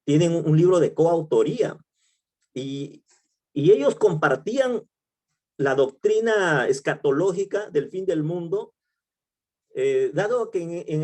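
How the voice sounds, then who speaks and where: A man's voice: a little high, darkish tone. A middle-aged man with a Peruvian Spanish accent, teaching a Bible study in a conversational way, with many fillers, restarts and tag questions. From Peru. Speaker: pastor.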